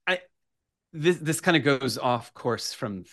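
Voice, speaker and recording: A man's voice: medium pitch, expressive, slow pace, a bit bright, dry recording, studio quality. A man talking as an interview guest, a writer and performer who repeats words and builds his points in short emphatic lists. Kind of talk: animated interview conversation.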